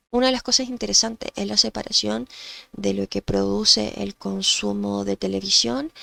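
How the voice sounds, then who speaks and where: A woman with a Chilean accent, nasal from allergies, explaining things thoughtfully on a podcast and pausing with fillers. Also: medium pitch, creaky, fairly bright tone, no echo. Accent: Chilean Spanish. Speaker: guest psychologist.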